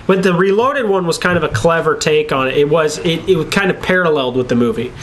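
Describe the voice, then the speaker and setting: A man's voice: a little high, fast talker, a bit bright, rough recording. A man co-hosting a talk show in casual, unscripted, easygoing conversation. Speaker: co-host of a video game and movie talk show. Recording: clear background noise.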